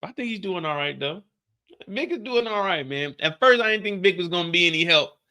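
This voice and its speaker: A man riffs loosely on an episode as he watches, slangy and wry, with a slight stutter now and then. His voice sits a bit high and bright, with lively swings in pitch. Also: fairly quick, smooth. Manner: slangy, wry, talks to the screen, mild stutter. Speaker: reaction video host. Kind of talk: casual reaction commentary.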